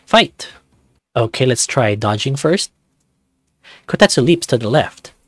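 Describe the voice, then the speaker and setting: A man's voice: mid-range voice, expressive, measured pace, husky, no room sound. Brief: casual male YouTuber reading a game aloud, hesitant fillers, dry room. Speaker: YouTube visual novel reader.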